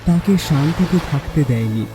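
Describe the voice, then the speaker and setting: A man's voice: a little high, expressive, normal speed, mellow, faint music behind, low-quality recording. A man narrating a scripted horror story in a dramatic storytelling style.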